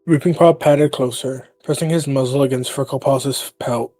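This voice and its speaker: A man's voice: medium pitch, fairly even intonation, normal speed, warm tone, no room sound. A man reading third-person story narration for his character in a roleplay. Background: music.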